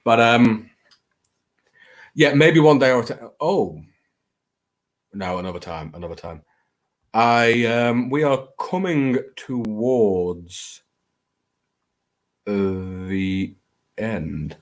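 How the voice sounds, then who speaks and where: A man's voice: lowish voice, animated, drawn-out words, long pauses. A man with a Scouse accent hosting a casual, rambling live chat, speaking in a hesitant, self-deprecating way with theatrical flourishes. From England. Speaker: host of a late-night livestream.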